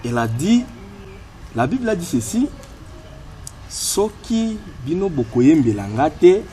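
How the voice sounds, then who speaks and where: A man hosting a gospel web show, interviewing a singer in French mixed with Lingala, with many pauses. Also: fairly high pitch, big pitch swings, mellow, slight background noise. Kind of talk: casual interview.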